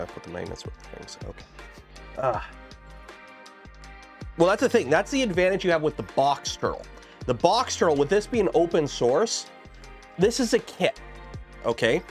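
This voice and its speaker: A man hosting a casual livestream, chatting in a rambling way with many fillers and restarts while losing his voice. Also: mid-range voice. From Canada. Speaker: livestream host building a 3D printer kit.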